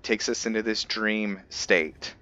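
A man giving casual, halting, explanatory commentary as he hosts a gameplay video. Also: on the low side, steady tone, sharp tone, highs cut off. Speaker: gaming video host. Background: video game ambience.

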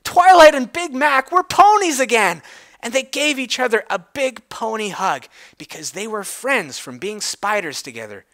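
A bright, forceful voice gives a theatrical reading of a story. The pitch swings widely and key words are drawn out with storybook emphasis. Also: lively intonation, normal speed.

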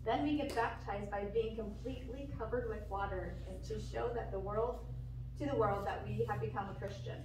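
A woman's voice: medium pitch, moderate pace, natural voice. A woman voicing a sensible, earnest, plain-spoken young puppet character in a children's church show. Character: sensible, dry, know-it-all young puppet friend. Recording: echoey, noisy room, distant mic.

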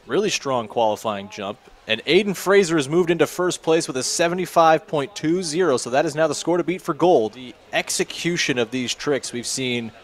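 A man calls a freestyle ski event live, his voice sitting a bit high for a man. He talks in a steady, informative way, reading out scores and naming the tricks as they land. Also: normal speed. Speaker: play-by-play commentator. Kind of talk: live sports commentary.

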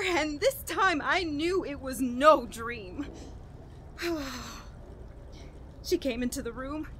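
An actress playing a guilt-ridden, unraveling woman in a radio horror play, telling her story in a halting, dramatic way. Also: sits high, fairly bright tone, a little hiss or hum, exaggerated voice. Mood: dread. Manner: halting, repeating words, breaking into nervous laughs and gasps. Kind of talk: dramatic first-person horror monologue in old-radio style.

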